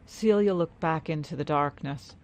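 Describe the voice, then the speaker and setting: A woman storyteller reading scripted fantasy narration in a measured, dramatic way. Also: low-pitched. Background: music.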